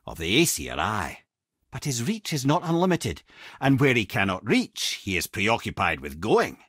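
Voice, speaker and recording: A man's voice: on the low side, wildly swooping pitch, normal speed, a bit bright, husky, no echo, studio quality, exaggerated voice. A man voicing a wise, witty old talking head in a video game, with a Scottish accent and an eloquent, wry storytelling manner. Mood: earnest. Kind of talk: game character dialogue and storytelling.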